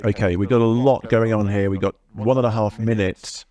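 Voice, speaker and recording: A man's voice: on the low side, fairly even intonation, a little fast, dark tone. A middle-aged man moderating a question session at a conference in an auditorium. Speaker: panel moderator. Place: stage in a large auditorium.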